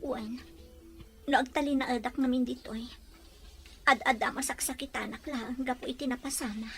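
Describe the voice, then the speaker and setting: A woman playing a gentle, heartbroken but resolute young woman leaving home in an audio drama, speaking earnestly. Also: high-pitched, steady tone, normal speed, soft background music. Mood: sad, resigned. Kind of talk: Ilocano audio drama acting.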